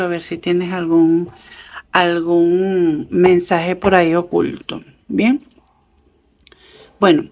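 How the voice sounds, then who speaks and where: A woman giving a casual tarot reading, chatty and affectionate, with many fillers and soft laughs. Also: medium pitch. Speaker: tarot reader. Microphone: camera set up over a table with cards.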